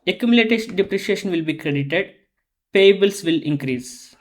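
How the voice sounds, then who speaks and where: An instructor giving a methodical, step-by-step explanatory lesson on accounting software, often repeating phrases while explaining. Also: medium pitch, lively intonation, moderate pace.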